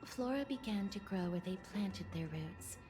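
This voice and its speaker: A woman's voice: medium pitch, lively intonation, normal speed, dark tone, natural voice. An actress playing an earnest, polite young woman in an anime game, speaking in a formal, careful, explanatory way. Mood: explaining. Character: earnest, polite young woman, thoughtful guide with reverent air. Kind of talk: anime game voice acting, expository dialogue. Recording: game music clearly behind.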